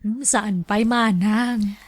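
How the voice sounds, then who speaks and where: Slow, hesitant older woman played by an actress. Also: medium pitch, steady tone, no room sound, clean studio sound. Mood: sheepish. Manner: hesitant, demure. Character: older woman, shy, hesitant, courted by suitor. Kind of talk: radio drama acting.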